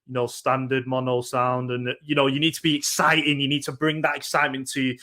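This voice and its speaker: A young man with a Mancunian accent speaks in a casual, unscripted way, stopping for "um" and doubling back on his words. His voice sits in a middle pitch range. He explains things to a workshop audience. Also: flat delivery, a little fast, sharp tone. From Northern England, Manchester. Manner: hesitant, lots of ums and repeated words.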